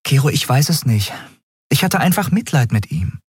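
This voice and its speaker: A man voicing a kindly female airship captain in an audiobook, brisk and informal in manner. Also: medium pitch, very animated, breathy edge, no echo, clean studio sound, pitched above the performer's own voice. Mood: earnest.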